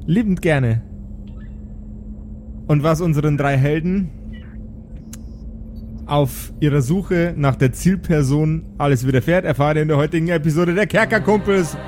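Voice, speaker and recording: A man hosting and narrating an improvised tabletop audio drama as game master, measured and descriptive. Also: a little high, a little mellow, a little hiss or hum. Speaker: game master and podcast host.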